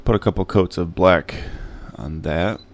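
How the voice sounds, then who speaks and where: A man narrating a casual DIY how-to in an informal, halting, hesitant way. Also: low voice, vocal fry. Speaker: DIY prop maker and channel host. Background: music.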